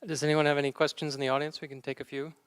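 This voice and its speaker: A man's voice: a little high, little pitch movement, racing, clear, resonant tone, no echo, studio quality. A man moderating a theater talkback and taking questions from the audience in an offhand way. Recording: distant from the mic on a stage.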